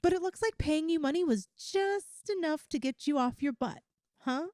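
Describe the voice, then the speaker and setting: A woman voicing a sweetly obsessive young yandere girlfriend in an ASMR roleplay, talking coyly and chattily to the listener with halting, drawn-out emphasis. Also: high-pitched, animated, a little mellow, no echo, studio quality. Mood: sweetly doting. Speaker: audio roleplay performer.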